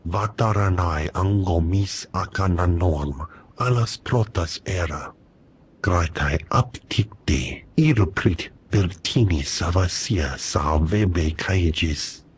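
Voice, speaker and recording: A man voicing a gruff alien contact in a video game, guttural and very husky. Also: low voice, takes it slowly, full character voice. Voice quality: very husky, raspy. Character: gruff male alien contact speaking an invented tongue. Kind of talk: scripted video game dialogue.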